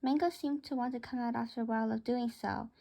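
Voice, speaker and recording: A woman's voice: on the high side, steady tone, fast, gravelly, dark tone. A woman reading a first-person children's story aloud as its narrator.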